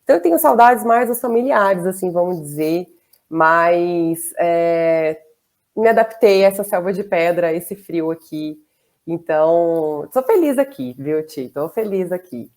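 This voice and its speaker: A woman's voice: on the low side, expressive, measured pace. A woman in her thirties with a Goiânia accent, chatting casually as an interview guest, with frequent fillers and small laughs. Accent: Goiás Brazilian Portuguese. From southern Brazil, raised in Goiás. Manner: chatty, warm laughs between phrases, many fillers.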